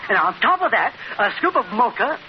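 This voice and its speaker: A man's voice: very high voice, sing-song, a little fast. An actor playing an eager, fussy soda fountain waiter in an old radio comedy, relishing each item he offers. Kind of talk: 1940s-50s radio situation comedy acting. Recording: muffled noisy old radio broadcast.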